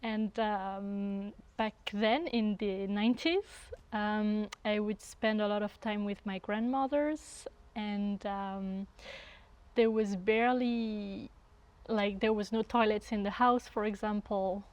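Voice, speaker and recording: A woman in her thirties from France, a guest sound artist talking unhurriedly and often pausing to search for words. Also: medium pitch, steady tone, a little mellow. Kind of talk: casual conversation.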